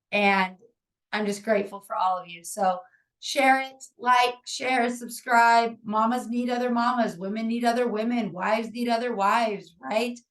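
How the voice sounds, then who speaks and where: Woman, forties, raspy and hoarse; upbeat encouraging podcast host, fairly high-pitched. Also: rough recording. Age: 40s. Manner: upbeat, encouraging, rambling with fillers. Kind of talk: casual podcast monologue.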